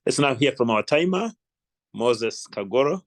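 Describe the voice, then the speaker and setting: A man's voice: medium pitch, expressive, normal speed, dry recording. A Ugandan man hosting a club meeting in a courteous, formal, encouraging way. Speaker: meeting host, toastmaster.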